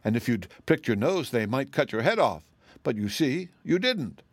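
Guy reading as a wise wizard in a kids' story, brisk and consoling, lively pitch, dry sound. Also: dry recording.

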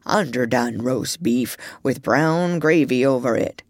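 A woman voicing a gruff male dog who growls and mumbles his words slowly. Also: very deep voice, no room sound, clean studio sound, pitched below the performer's own voice, full character voice. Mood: sleepy.

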